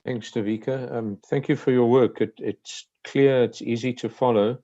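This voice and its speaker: A man's voice: medium pitch, steady tone, normal speed, dark tone, dry recording, dull audio. A man with a South African accent asking questions in an online meeting, hesitant with many restarts and fillers.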